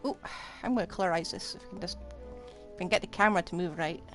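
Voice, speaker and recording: A woman's voice: fairly low pitch, fast, faint music behind. A woman livestreaming a game, chatting casually and thinking aloud as she rambles along.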